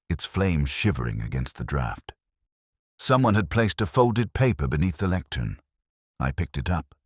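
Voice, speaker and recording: A man reading scripted first-person detective fiction in a measured, literary, brooding manner. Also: rumbling low, breathy edge, no room sound, clean studio sound. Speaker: narrator.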